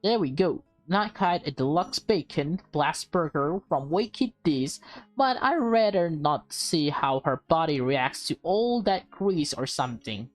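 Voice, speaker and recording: A woman's voice: lowish voice, darkish tone, no echo. A woman hosting a let's play, reading a visual novel aloud with chatty asides to viewers. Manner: chatty, addresses viewers directly.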